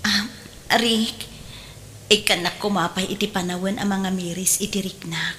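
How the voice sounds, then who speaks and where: Hesitant, soft-spoken young Ilocano mother played by a woman. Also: medium pitch, steady tone, measured pace. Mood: hesitant, uneasy. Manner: hesitant, subdued, apologetic. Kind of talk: Ilocano audio drama acting. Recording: long reverb, faint background noise.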